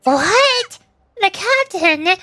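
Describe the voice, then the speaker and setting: A woman voicing a small, excitable and loyal fairy companion in a video game, with a childlike manner. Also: extremely high pitch, very slow, faint music behind, pitched above the performer's own voice, full character voice. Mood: startled. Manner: childlike, speaks of herself in third person.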